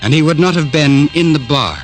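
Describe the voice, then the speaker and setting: An actor as a grave male narrator, dramatic and measured, in 1950s radio drama style. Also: a little high, animated, close to an everyday voice. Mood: grave, ominous. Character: grave, portentous male storyteller. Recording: old radio drama, faint music behind.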